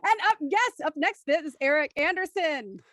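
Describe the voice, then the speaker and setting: A woman's voice: high-pitched, lively intonation, moderate pace, noisy background. A woman hosting an online show, chatting and introducing a cast with bubbly enthusiasm. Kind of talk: livestream hosting, chatty banter.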